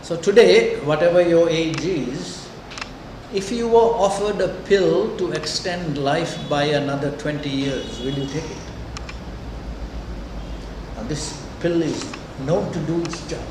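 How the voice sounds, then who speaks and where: An older man lectures in a very reverberant room, picked up from a distance over loud background noise. He talks deliberately and conversationally, putting questions to his students. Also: on the high side. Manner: deliberate, conversational, checks in often with "okay" and "huh". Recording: echoey lecture room, distant mic, noisy.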